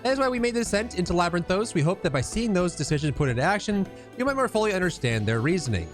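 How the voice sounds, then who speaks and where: Man putting on an earnest, high-pitched lady scholar. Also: very animated, racing, faint music behind. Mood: courteous. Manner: formal, courteous, bookish.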